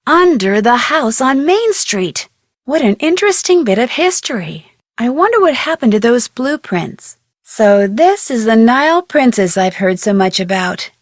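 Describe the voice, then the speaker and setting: A woman's voice: on the high side, dry recording, studio quality. A woman voicing a curious, plucky young female detective in a video game, musing aloud expressively.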